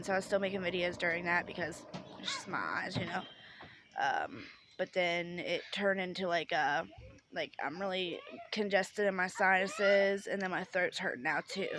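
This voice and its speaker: A woman's voice: lowish voice, pushed and bright, a little hiss or hum. A young woman chatting casually in a vlog while sick and congested, talking outdoors by a grill. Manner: chatty, rambling with many ums. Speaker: vlogger.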